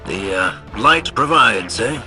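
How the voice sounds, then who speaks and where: A man's voice: lowish voice, lively intonation, slow pace, pushed and bright, soft background music, exaggerated voice. A man playing a plain-spoken, hopeful soldierly commander in a video game, earnest and conversational. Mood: encouraging. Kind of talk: scripted video game acting.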